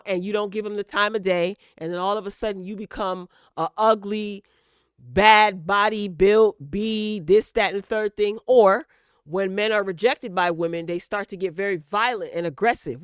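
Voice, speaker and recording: A woman with a smooth, clear voice and a mid-range pitch hosts casual gossip commentary. She talks straight to her listeners, emphatic and opinionated, repeating words to drive a point home. The sound is dry and close, with no room echo. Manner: emphatic, direct address to listeners with repetition for emphasis. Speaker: host of a celebrity gossip commentary channel.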